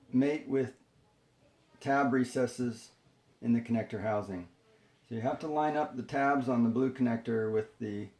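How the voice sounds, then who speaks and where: A man presenting an unscripted hands-on how-to, talking in a halting, step-by-step way. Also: medium pitch, vocal fry, darkish tone, echoey room. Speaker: tutorial presenter.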